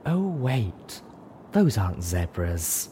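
A man's voice: lowish voice, animated, normal speed, dark tone, a little hiss or hum, close to an everyday voice. A man voicing an elegant, sardonic female unicorn with a drawling, sighing, ladylike manner in a fantasy audio story. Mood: mock-casual.

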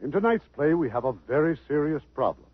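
A man's voice: a little high, animated, fairly quick, heavy background noise. A man hosting and narrating the setup of a 1950s radio drama. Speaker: radio host and producer. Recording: muffled old radio broadcast with music.

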